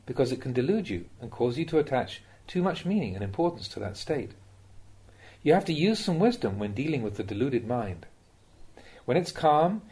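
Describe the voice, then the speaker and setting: Slightly husky man reading Buddhist teachings. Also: medium pitch, a little mellow. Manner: measured, instructive, direct address to listener. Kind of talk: read-aloud Dhamma talk.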